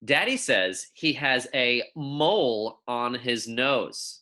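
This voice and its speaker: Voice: medium pitch, expressive, slow pace, pushed and bright. A teacher giving a read-aloud lesson for young children in a friendly, informal, encouraging way. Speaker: elementary school teacher.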